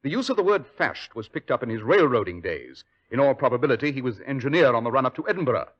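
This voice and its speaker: An actor playing a confident male detective who explains his deductions in a crisp, lecturing manner. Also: mid-range voice, sing-song, fast talker. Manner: crisp, lecturing, self-assured. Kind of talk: 1940s radio acting. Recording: dull old radio broadcast.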